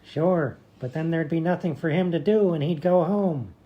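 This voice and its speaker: A woman playing a brusque, matter-of-fact hospital doctor with deadpan delivery in a radio comedy. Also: low voice, moderate pace, slightly rough, highs cut off.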